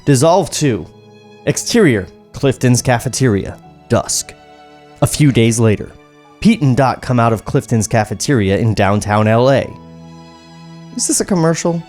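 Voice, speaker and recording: A man's voice: medium pitch, animated, moderate pace, darkish tone. A man reading screenplay stage directions at a podcast table read, with joking asides. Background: background music.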